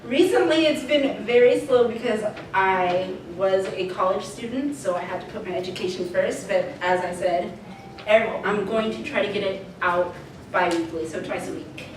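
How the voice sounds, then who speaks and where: A woman's voice: mid-range voice, normal speed. A young woman chatting casually as she hosts a podcast panel. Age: young adult. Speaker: podcast creator hosting a panel. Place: convention panel room. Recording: echoey noisy hall, distant mic.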